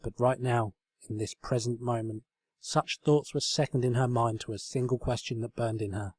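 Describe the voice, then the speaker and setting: A man's voice: medium pitch, fairly even intonation, moderate pace, slightly rough, no room sound. A man reading a story aloud as narrator, in a scripted storybook style. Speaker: fan fiction reader, narrator.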